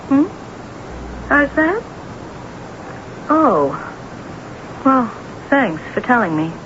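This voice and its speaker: An actress playing a brooding, moody woman novelist in a radio mystery drama, with a halting delivery. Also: medium pitch, a bit bright, exaggerated voice. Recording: noisy, muffled old radio broadcast recording.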